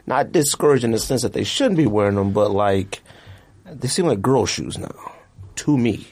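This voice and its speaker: A man's voice: mid-range voice, expressive, moderate pace, darkish tone. A man hosting a casual podcast in a studio, talking in loose slang and repeating himself for emphasis. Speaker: podcast host. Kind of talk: casual sneaker podcast banter.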